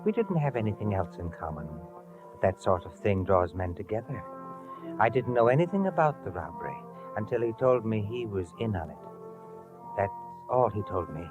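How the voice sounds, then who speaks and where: Plain-spoken troubled friend, low voice, earnest, 1950s radio drama, muffled old recording. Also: male voice, big pitch swings, normal speed, music in the background. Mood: sober. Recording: muffled, band-limited 1950 radio recording.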